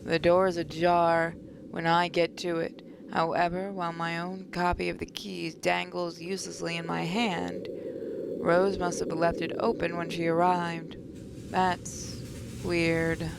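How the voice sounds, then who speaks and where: Low, creaky-voiced woman narrating a game. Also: level tone, unhurried, noisy background. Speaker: gaming channel host reading a visual novel. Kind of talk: expressive narration of game text with casual commentary.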